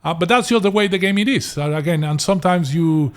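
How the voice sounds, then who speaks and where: Venezuelan-accented man, slightly high voice. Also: fast talker. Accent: Venezuelan Spanish, mixed with American and Australian. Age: middle-aged. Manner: restarts and repeats words, many fillers. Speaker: guest, property investor. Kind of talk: casual interview storytelling.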